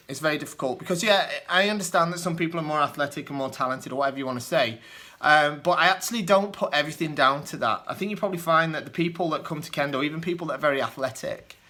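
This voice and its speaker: A young man with a soft northern English accent, his voice bright and forward. He rambles through viewer questions off the cuff, filling pauses with ums and uhs. He laughs lightly at himself now and then. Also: medium pitch. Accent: mild northern English, Lancashire and Yorkshire mix. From northwest England. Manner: hesitant, lots of ums and uhs, chuckles often. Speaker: kendo channel host answering viewer questions. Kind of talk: casual off-the-cuff Q&A.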